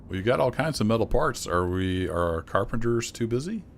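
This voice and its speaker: A man streaming a city-building game, chatting casually and thinking aloud as he plays, his voice a little rough. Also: lowish voice, brisk, slight background noise. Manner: relaxed, thinking aloud with fillers and restarts. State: slightly rough voice. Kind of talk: casual gameplay commentary.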